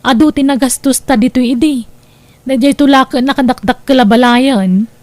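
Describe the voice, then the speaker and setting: A woman's voice: sits high, moderate pace, a little mellow, lightly acted. A woman playing a nosy, gossiping village neighbour in an Ilocano comedy drama, speaking chattily with an Ilocano accent. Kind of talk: Ilocano comedy radio-style drama acting.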